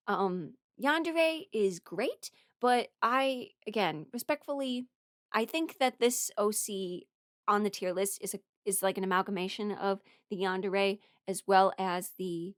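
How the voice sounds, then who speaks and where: A woman with a fairly high voice, recorded dry and close with little room sound. She talks like a host rambling through her opinions, casual and candid, softening blunt verdicts with apologetic asides. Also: no room sound. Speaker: host ranking audio drama characters.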